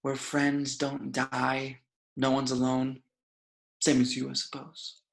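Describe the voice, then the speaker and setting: A man playing a bitter, mocking supervillain with a measured, taunting delivery in a script table read. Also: mid-range voice, monotone, creaky. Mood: quietly wistful. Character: bitter, mocking male supervillain mastermind, chillingly calm.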